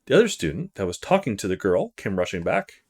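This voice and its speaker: A man's voice: fairly low pitch, big pitch swings, a little fast, slightly rough. A man narrating and reading aloud a visual novel as a let's play host.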